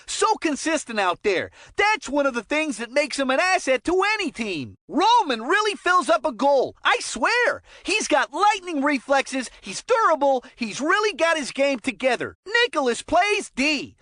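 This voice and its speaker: An actor voicing an excitable kid sports commentator in a cartoon hockey game, calling players with folksy enthusiasm. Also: high voice, dry recording, studio quality, full character voice.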